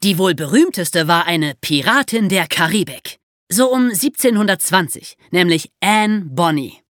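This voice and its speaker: A woman's voice: fairly low pitch, animated, measured pace, dry recording, studio quality. A woman hosting a podcast for young listeners in a conversational presenting style. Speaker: podcast host.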